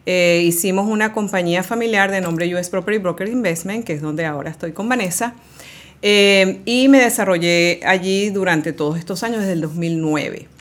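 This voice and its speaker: A woman with a Venezuelan accent co-hosting a podcast, talking casually with many fillers and pauses. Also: on the low side. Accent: Venezuelan Spanish. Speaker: podcast co-host, realtor.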